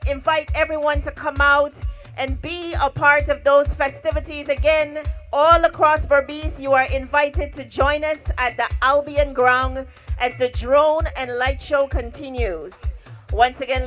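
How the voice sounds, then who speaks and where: High-voiced Guyanese woman emceeing a stadium. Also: steady tone, moderate pace, fairly bright tone, soft background music. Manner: rallying the crowd, formal announcer phrasing. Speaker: event emcee. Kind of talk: live stadium announcing. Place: outdoor national stadium.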